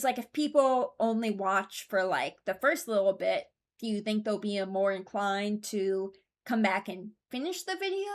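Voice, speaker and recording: A woman hosting a video, giving casual, rambling commentary and hesitating as she thinks aloud. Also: medium pitch. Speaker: video creator and host.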